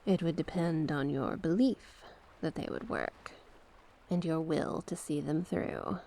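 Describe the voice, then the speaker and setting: A woman plays a mysterious sea witch for an ASMR fantasy roleplay. The voice is on the low side and full of gravelly fry, moving very slowly. The witch coaxes and encourages with soothing, formal storybook care, softening at times toward a whisper. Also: full character voice. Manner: gentle, coaxing, formal storybook phrasing. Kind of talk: ASMR roleplay, slow scripted monologue.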